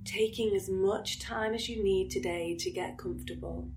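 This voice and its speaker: A woman guiding a relaxation meditation in a soothing, unhurried manner, with phrases trailing into breathiness. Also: mid-range voice, steady tone, rough recording. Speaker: yoga nidra meditation guide.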